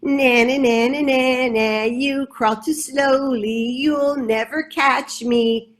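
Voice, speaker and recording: A woman's voice: high voice, expressive, measured pace, voice pushed up. Paka, a woman doing a bragging rat. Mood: taunting. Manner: taunting, bragging. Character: boastful speedy male rat, a mocking bully.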